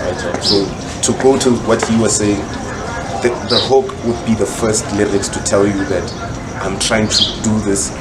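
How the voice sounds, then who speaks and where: Man, South African accent, lowish pitch, slangy explanatory talk, casual podcast guest, very noisy ambient recording. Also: fairly even intonation, normal speed. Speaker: podcast guest, rapper and songwriter.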